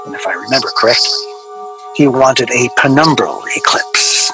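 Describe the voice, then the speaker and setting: An actor playing a wry sound recordist, telling his story in a measured, articulate, deadpan way for a radio drama. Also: mid-range voice, over music, lightly acted. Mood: wry, reflective. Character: wry male sound recordist recalling an obsessive artist's failed project. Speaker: interviewee.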